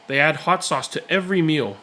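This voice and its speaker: A man reading a children's picture book aloud, with expressive, deliberate and dramatic phrasing. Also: a little high. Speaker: read-aloud storyteller.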